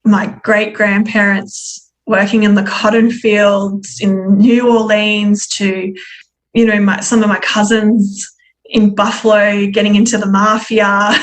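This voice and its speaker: Woman, podcast guest, personal storytelling, reflective, candid, halting delivery, mid-range voice. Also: medium pitch, fairly even intonation, rough recording.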